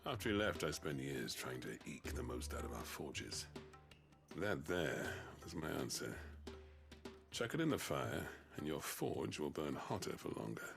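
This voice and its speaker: Gruff old blacksmith chief for a game, holds grudges, super deep gravelly voice that swings around, music underneath. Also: male voice, heavily acted. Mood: matter-of-fact. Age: older. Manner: blunt, grudging. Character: gruff grudge-bearing older male blacksmith village chief. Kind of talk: dramatic video game dialogue.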